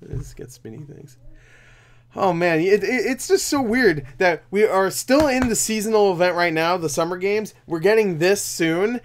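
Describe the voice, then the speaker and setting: A man's voice: high-pitched, animated, moderate pace. A man in his twenties giving casual, rambling, sweary reaction commentary as a video host. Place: small room at home.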